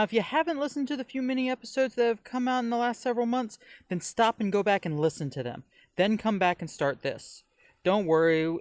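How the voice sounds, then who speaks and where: A man's voice: high voice, dry recording. A man reading a scripted welcome and introduction as the host of a podcast.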